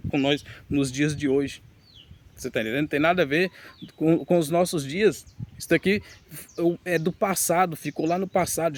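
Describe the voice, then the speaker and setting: A man preaching a teaching sermon, reading scripture aloud and addressing his listeners directly with emphasis. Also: on the high side.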